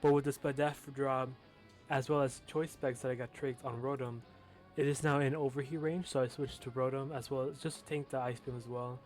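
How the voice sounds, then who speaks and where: A man's voice: medium pitch, fairly even intonation, moderate pace, soft background music. A young man with a lisp casually commentating his own competitive match, talking loosely with fillers and the odd swear word.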